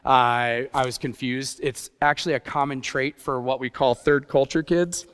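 A youth pastor preaching a sermon in a conversational way, with rhetorical questions and playful asides. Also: male voice, medium pitch, steady tone, normal speed, fairly bright tone, clear, resonant tone. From grew up partly in Japan. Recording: long hall reverb.

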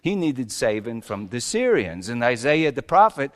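A man playing Joseph, a wry, bewildered carpenter, in a halting, colloquial and theatrically emotional dramatic monologue. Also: mid-range voice, sing-song, natural voice. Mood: wry, puzzled. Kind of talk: dramatic comic monologue in a sermon. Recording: echoey hall with long reverb.

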